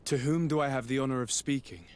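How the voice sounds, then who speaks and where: A man playing a composed, courteous noble envoy in a video game, speaking formally, politely and in a measured way. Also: mid-range voice, fairly even intonation, darkish tone, smooth, close to an everyday voice. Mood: polite inquiry. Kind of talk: video game cutscene acting.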